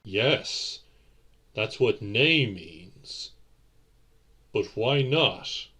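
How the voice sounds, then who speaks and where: Guy playing a gentleman vampire boyfriend, audio roleplay, very low n husky, formal and theatrical. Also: animated, full character voice. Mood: earnest pleading. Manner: formal, theatrical, deliberate phrasing.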